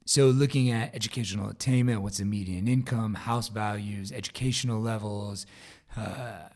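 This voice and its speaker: A man with a raspy, hoarse voice hosting a solo podcast episode, talking casually with fillers and restarts. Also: on the low side, fairly even intonation, normal speed, a little mellow. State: hoarse from a vocal cord growth. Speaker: podcast host.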